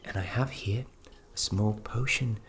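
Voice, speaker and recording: A performer voicing a flustered, kindly fairy magic specialist in a fantasy ASMR roleplay, speaking softly and hesitantly, often dropping into a whisper. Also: mid-range voice, noisy background, lightly acted. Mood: helpful, gentle. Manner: hesitant, halting, repeats words, often whispering.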